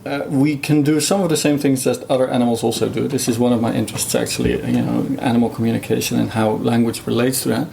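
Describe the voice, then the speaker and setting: A man's voice: medium pitch, steady tone, fast, vocal fry, few pauses, darkish tone, slight background noise. A man whose first language is Dutch, explaining research in a thoughtful conversational way, with many fillers and restarts. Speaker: guest researcher.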